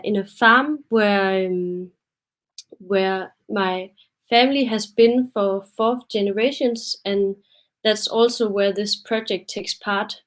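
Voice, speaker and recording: A young Danish woman presenting her photo project on a video call, hesitant and a bit nervous, with many fillers. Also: medium pitch. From Denmark. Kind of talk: webinar presentation.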